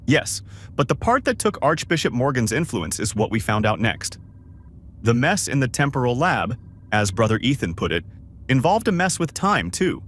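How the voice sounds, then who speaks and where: A man's voice: mid-range voice, big pitch swings, moderate pace, a bit bright, slight background noise. A man playing a wry, informative starship crewman reporting to his crew in an amateur sci-fi drama.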